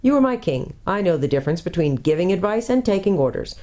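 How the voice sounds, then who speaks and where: Woman voicing a gruff storybook dwarf man, blunt, grumbling, mellow tone. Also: on the low side, big pitch swings, fast, pitched above the performer's own voice. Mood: loyal. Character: gruff skeptical male red dwarf.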